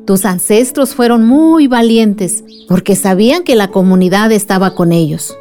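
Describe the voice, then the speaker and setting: Actress as a very mellow, nurturing storyteller. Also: female voice, mid-range voice, lively intonation, moderate pace, faint music behind, natural voice. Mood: gentle reassurance. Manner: soothing, nurturing. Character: gentle wise woman telling a child a story. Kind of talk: radio drama storytelling.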